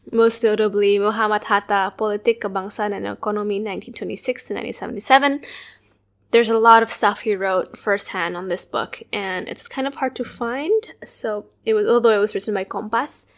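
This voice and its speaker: Chatty young woman co-hosting a podcast. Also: medium pitch. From Indonesia. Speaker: podcast co-host. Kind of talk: casual podcast chat and reading.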